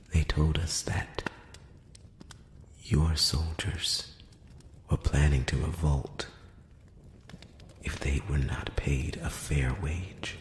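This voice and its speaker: A solemn elvish emissary, played by a man, whispers in an extremely low voice, close to the mic. His delivery is slow, formal and probing, with deliberate pauses. The room echoes around him over a faint hiss, in the style of a fantasy ASMR roleplay. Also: full character voice. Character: elf emissary, male, solemn, measured and probing. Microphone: close-up microphone.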